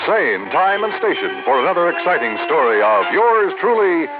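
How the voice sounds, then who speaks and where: A male radio announcer reading the closing credits in a formal, measured way. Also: a little high, very animated. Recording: tinny old radio broadcast with music.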